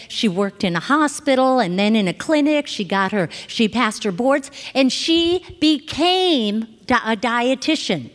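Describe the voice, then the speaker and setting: A middle-aged American woman giving an informal, conversational sermon as a reverend, teaching in an animated way and often checking in with her listeners. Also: sits high. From Hawaii, United States. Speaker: reverend giving a Sunday talk. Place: large indoor spiritual center, speaking to a congregation. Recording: reverberant hall sound.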